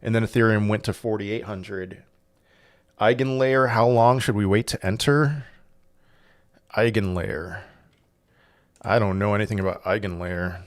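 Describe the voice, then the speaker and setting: A man's voice: on the low side, a little slow, long pauses. A man hosting a casual crypto livestream, reading chat questions aloud and answering them in a rambling way with frequent restarts. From Portugal. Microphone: desk microphone.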